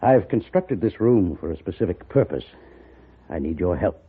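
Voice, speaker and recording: An actor playing a proud, determined, wealthy blind father in a 1950s radio western, with a husky voice and formal, commanding speech. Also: low-pitched, lively intonation, moderate pace, a little hiss or hum. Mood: grave, insistent. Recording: narrow, muffled old radio recording.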